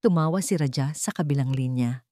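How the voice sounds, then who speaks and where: Brief: woman narrator, low voice, dry close sound, measured dramatic storytelling. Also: dark tone, no room sound.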